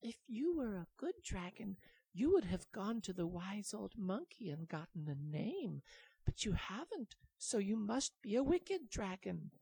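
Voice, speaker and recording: A storyteller voicing a timid but knowing little girl dragon in a soft, breathy, raised voice for a children's story. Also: medium pitch, very animated, slow, no room sound, pitched above the performer's own voice, heavily acted, low-quality recording. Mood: earnest.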